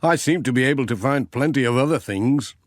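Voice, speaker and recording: A man's voice: medium pitch, fairly quick, no echo, studio quality, close to an everyday voice. A man voicing a kindly, cheerful village postman with a friendly, earnest way of talking in a children's storybook. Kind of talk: children's storybook character dialogue.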